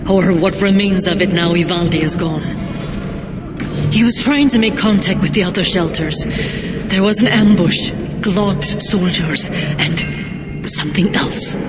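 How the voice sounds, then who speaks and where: High-voiced, weary dwarf leader. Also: male voice, loud music behind the voice. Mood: somber. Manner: guarded, halting, blunt. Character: weary, blunt dwarf leader of besieged shelter. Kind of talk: dramatic video game cutscene acting.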